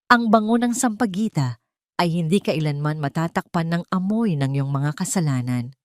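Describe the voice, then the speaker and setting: A woman's voice: deep voice, darkish tone, dry recording. Woman narrating a drama, dry close sound. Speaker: storyteller, narrator. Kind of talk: scripted dramatic audio story narration.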